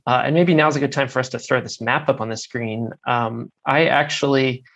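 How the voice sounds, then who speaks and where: A man's voice: mid-range voice, steady tone, moderate pace, gravelly, a bit bright. A man in his thirties from Maryland, a guest author talking thoughtfully in a conversation, with frequent fillers and repeated words. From United States (Maryland). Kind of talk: interview conversation.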